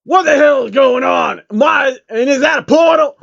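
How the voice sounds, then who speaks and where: A man's voice: very high-pitched, moderate pace, vocal fry, fairly bright tone, highs cut off, pitched above the performer's own voice, heavily acted. A man voicing a brash, hot-tempered crunk rapper who hollers in crude street slang. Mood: alarmed.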